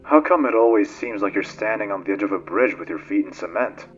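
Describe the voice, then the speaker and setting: A man's voice: lowish voice, brisk, lo-fi sound, soft background music, natural voice. A man playing a cocky, ambitious space freighter co-pilot in a game fan dub, talking in a wry, offhand, needling way. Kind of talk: dramatic game fandub dialogue.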